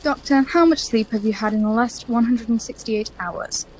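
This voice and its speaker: A woman playing a sensible, candid scientific colleague in an audio drama, frank and gently chiding. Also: fairly high pitch, normal speed, slight background noise, lightly acted, poor audio. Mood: polite, teasing.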